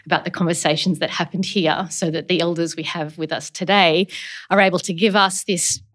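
A woman's voice: fairly low pitch, a bit bright. A woman hosting a conversational podcast, telling stories reflectively with small restarts. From Australia. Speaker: podcast host.

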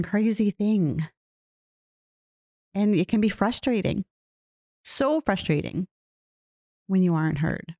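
Woman musing out loud, asks the listener stuff, warm low voice, dry. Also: dry recording. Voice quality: self-described low voice. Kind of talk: reflective personal talk.

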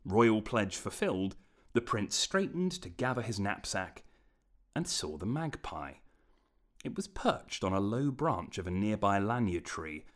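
A man narrates a fantasy tale in a scripted, storybook style. His voice sits very low, yet it moves through a lively range of intonation.

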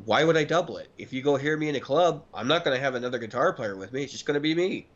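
A man's voice: mid-range voice, lively intonation, very fast, dull audio. A man in his early thirties chatting casually and emphatically as a talkative podcast co-host. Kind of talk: casual chat about gear and recording.

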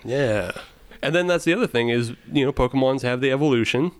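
A man's voice: mid-range voice, a little fast, smooth, no room sound. A man co-hosting a casual podcast about beer, joking around, laughing often and trailing into filler words. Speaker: podcast co-host. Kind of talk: casual chat.

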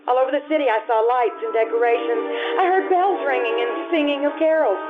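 An actress playing a harried, wistful housewife and mother in an old-time radio drama, with theatrical pauses. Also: high-pitched, over music, lightly acted. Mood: weary, wistful narration. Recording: tinny band-limited radio play recording.

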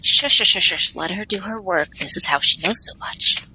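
Woman as sly, bright-voiced streetwise teammate. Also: mid-range voice, moderate pace, a little hiss or hum, low-quality recording. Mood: shushing. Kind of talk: audio drama dialogue.

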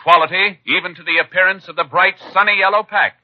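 A man's voice: high-pitched, fairly even intonation, fast talker, dry recording. A man announcing a 1950s radio show and its advertising in an emphatic, polished delivery. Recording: dull dry 1950s radio recording.

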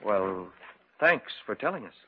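A man's voice: on the low side, very animated, a little slow, slight background noise. A man playing a level-headed, sceptical fisherman in an old radio drama, both narrating and acting out the tale. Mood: puzzled, amused. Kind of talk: 1940s-50s radio acting and first-person narration. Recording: dull vintage radio recording with music.

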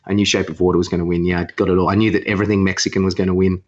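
A man's voice: low-pitched, little pitch movement, very fast, darkish tone, lo-fi sound. A man co-hosting a podcast with casual banter in an offhand, joking way. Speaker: podcast co-host.

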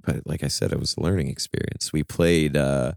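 A man with a very deep voice chats on a podcast, animated and quick to curse or laugh at himself. The sound is dry and close. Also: creaky, no room sound. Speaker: podcast co-host and touring musician. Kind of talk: casual chat.

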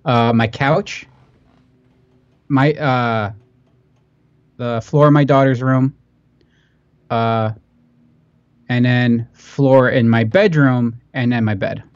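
A man's voice: mid-range voice, steady tone, slow pace, long pauses, clean tone, dry recording, highs cut off. A man with a nasal voice, bantering casually as a podcast co-host.

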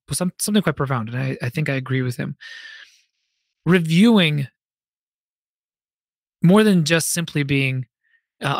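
A man with a fairly high-pitched voice hosts a podcast in a very dry recording. He delivers a prepared monologue with conversational asides, addressing listeners directly in an expressive storytelling manner. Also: no room sound. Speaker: podcast host and fantasy author.

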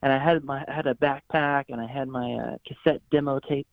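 Gravelly-voiced man on a tinny line. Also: mid-range voice, fairly even intonation, a little fast, no room sound. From Florida, USA. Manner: rambling, self-correcting, laughs easily. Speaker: guest songwriter and producer. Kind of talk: casual interview chat. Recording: dry, phone-like line.

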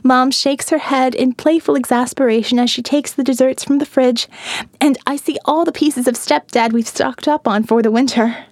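Female narrator, eerie and dreamy, hushed dips, dry booth sound. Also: steady tone, moderate pace, no room sound. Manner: dreamy, eerie, drops to hushed low tones. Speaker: audiobook narrator. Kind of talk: scripted first-person narration.

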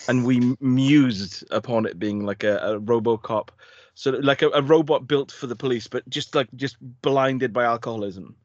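A man's voice: medium pitch, dry recording, dull audio. A man co-hosting a podcast, chatting casually in a jokey, rambling way with plenty of laughter. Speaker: podcast co-host. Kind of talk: casual chat.

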